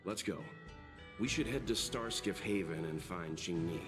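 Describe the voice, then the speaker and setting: An actor playing a polite, reserved and serious traveling companion in a video game, with a courteous, formal, composed manner. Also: male voice, sits low, lively intonation, moderate pace. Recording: game music behind.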